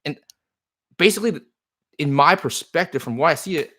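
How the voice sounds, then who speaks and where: A man's voice: fairly high pitch, animated, normal speed, bright, forceful tone. A man whose first language is Chinese, owner of a card shop, talking earnestly on a live stream and answering viewer comments in a rambling way, often repeating himself.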